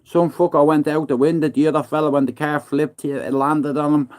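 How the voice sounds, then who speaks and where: A man's voice: on the high side, fairly quick. A man, living in Manchester, telling a rambling, profane story on a livestream and acting out his own lines to others. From Ireland. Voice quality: profane, slangy, calls everyone bro. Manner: animated, acts out his own threats and taunts in hushed voice. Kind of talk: casual storytelling monologue.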